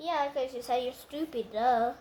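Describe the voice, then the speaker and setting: A child putting on a high, sassy vampire bat. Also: normal speed, a little mellow, a little hiss or hum, close to an everyday voice. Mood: dismissive. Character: bossy, teasing vampire bat puppet, quick to threaten. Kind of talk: homemade puppet show dialogue.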